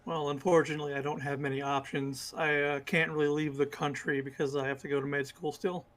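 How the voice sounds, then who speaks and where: Squeaky-voiced young man playing. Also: flat delivery, brisk, a bit bright. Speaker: player. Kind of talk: in-character tabletop roleplay.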